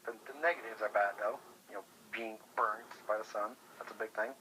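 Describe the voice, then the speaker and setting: A man's voice: lowish voice, steady tone, brisk, crowd noise. A man chatting casually over the phone as a guest, hesitant and thinking aloud. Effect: telephone. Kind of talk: casual chat. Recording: noisy phone call.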